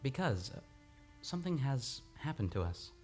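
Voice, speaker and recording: An actor as an intense, highly animated male doctor. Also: normal speed, faint music behind, natural voice. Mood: romantic. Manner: dramatic, intense. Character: charming but unstable, intense male doctor. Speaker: radio play actor. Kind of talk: old-time radio drama acting. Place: radio studio.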